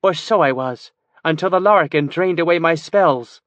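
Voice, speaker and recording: A man's voice: on the high side, moderate pace, fairly bright tone, no echo, highs cut off, pitched above the performer's own voice, close to an everyday voice. A man voicing a proud, stern female elf wizard who speaks in a commanding, cryptic way.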